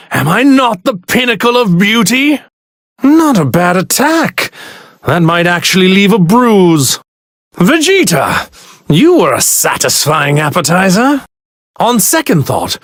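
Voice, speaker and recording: An actor playing an arrogant god in a stolen body, pompous and sneering in formal, grandiloquent speech for an anime fighting game. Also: male voice, high voice, big pitch swings, takes it slowly, fairly bright tone, no room sound, studio quality, full character voice. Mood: grandiose, gloating. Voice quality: theatrical, sneering delivery.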